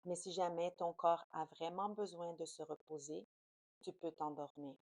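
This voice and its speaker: A woman guiding a yoga nidra relaxation in a soothing, slow, unhurried manner. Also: on the low side, fairly even intonation, a little mellow, no echo. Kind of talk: guided relaxation meditation.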